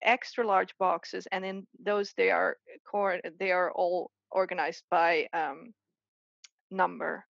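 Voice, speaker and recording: A woman's voice: fairly low pitch, little pitch movement, gravelly, dry recording, lo-fi sound. A woman from the Netherlands, a guest artist chatting casually in an interview, full of restarts and fillers. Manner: chatty, many restarts and fillers, quick laughs.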